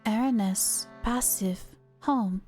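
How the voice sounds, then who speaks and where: Woman as a shy, halting little sea creature. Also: mid-range voice, a little mellow, faint music behind, pitched below the performer's own voice. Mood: wistful. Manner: halting one or two word phrases. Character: shy, gentle female sea creature, simple-minded, halting. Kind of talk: video game dialogue read aloud.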